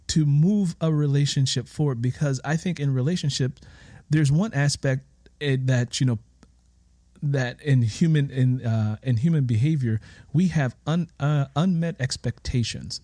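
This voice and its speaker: A man hosting an interview in a reflective, conversational way, deliberately building and restating his questions. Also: mid-range voice, warm tone, dry recording. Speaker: interview host.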